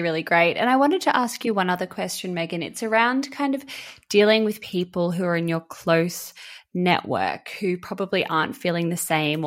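A woman with a slightly low voice hosts a podcast interview. She affirms her guest and frames her questions in a relaxed, encouraging way. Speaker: podcast host.

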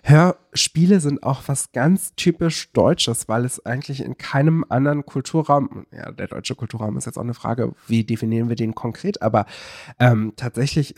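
A man's voice: mid-range voice, a little mellow, no room sound. Rambling man on a podcast, dry sound. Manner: rambling, many fillers and self-corrections. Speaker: podcast co-host. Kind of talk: casual chat.

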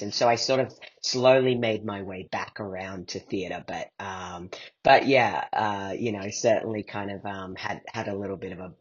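A man's voice: low voice, breathy edge, muffled recording. A middle-aged man answering as an interview guest, reflecting in a hesitant, meandering way as he thinks aloud. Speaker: guest, playwright and performer.

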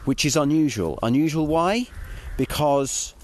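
A man's voice: fairly high pitch, expressive, a little slow, darkish tone. British man, casual podcast host, self-deprecating, hesitant delivery, faint ambient noise. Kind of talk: casual behind-the-scenes audio diary. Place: outdoors on a walk.

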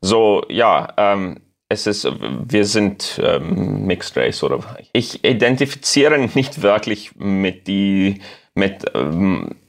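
A man from South Africa with an Afrikaans accent, a guest in an interview, speaking German haltingly with many fillers as he searches for words. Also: lowish voice, lively intonation, creaky. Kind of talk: casual interview.

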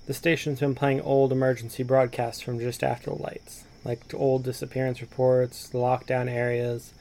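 Male friend on the line, played by an actor: medium pitch, gravelly fry, easygoing casual audio-drama delivery. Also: little pitch movement, normal speed, a little mellow, natural voice. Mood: storytelling. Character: easygoing male friend calling a radio station.

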